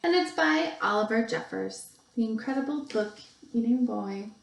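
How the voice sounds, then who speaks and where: A woman teacher reading a picture book aloud to young children, expressively, with deliberate pauses and stretched emphasis. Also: on the high side, animated, creaky. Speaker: second grade teacher. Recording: roomy, echoey sound.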